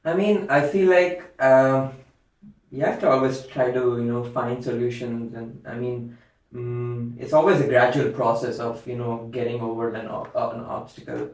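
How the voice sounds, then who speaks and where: A man from India with an Indian English accent, a guest on a casual panel chat, talking haltingly with many fillers as he searches for words. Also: medium pitch, fairly even intonation, a little mellow. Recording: very echoey room, mic far off.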